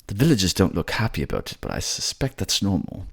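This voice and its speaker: A man's voice: sits low, huge pitch swings, creaky, slightly rough, full character voice. A man playing an anxious, eloquent male mage narrating visions in a theatrical fantasy audio drama. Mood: uneasy. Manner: theatrical, halting as visions unfold.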